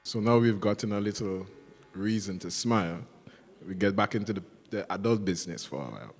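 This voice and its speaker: A man's voice: lowish voice, fairly quick. A man serving as master of ceremonies at a formal forum, introducing speakers from a podium microphone in a conference hall. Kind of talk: formal event hosting. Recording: long hall reverb, faint noise.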